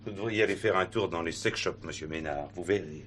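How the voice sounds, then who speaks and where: A man's voice: low voice, a little fast, a bit bright, slight background noise. A man playing a matter-of-fact, slyly teasing police investigator in a French detective stage play.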